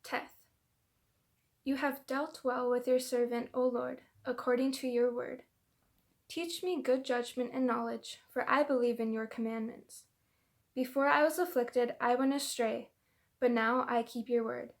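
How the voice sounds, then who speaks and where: A woman's voice: on the high side, steady tone, unhurried. A woman reading verses of scripture aloud. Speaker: reader.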